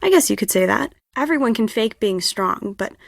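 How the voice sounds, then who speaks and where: A young woman who directs plays, stressed and theatrical, in adventure game dialogue. Her voice sits fairly high and is recorded very dry. She speaks expressively, as if confiding in the listener. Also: expressive, moderate pace, gravelly, no echo. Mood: brisk, friendly. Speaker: video game voice actor.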